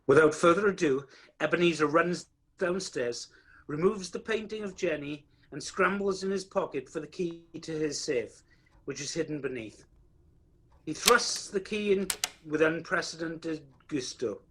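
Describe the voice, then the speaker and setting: A man's voice: on the high side, moderate pace, fairly bright tone, husky, poor audio. A man playing the wry, theatrical narrator of a radio play, addressing the listener directly. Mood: dramatic. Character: wry storyteller narrator of a Christmas tale, theatrical.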